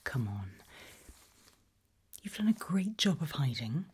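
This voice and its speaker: A woman softly whispering a medical exam roleplay in ASMR style, giving gentle, deliberate instructions with pauses. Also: low voice, very animated. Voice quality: soft breathy whisper. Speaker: ASMR performer playing an examiner.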